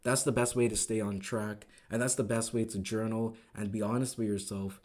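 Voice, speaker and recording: A man hosting a trading live stream, recapping the market in casual, upbeat talk that keeps asking "right?", his voice growing a little raspy. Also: fairly low pitch, level tone, moderate pace, darkish tone. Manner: enthusiastic, repeats words, asks "right?" often. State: voice getting raspy.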